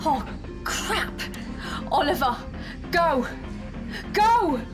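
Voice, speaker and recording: A pleading young woman from an audio drama speaks in a high voice. Her words are earnest and urgent but slowly paced. Music sits clearly behind her. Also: sing-song, full character voice. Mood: panicked pleading. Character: protective, pleading young woman among thieves.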